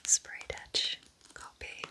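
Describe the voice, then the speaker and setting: A woman whispering gently and informally in an intimate, unhurried ASMR style, as a host giving personal recommendations.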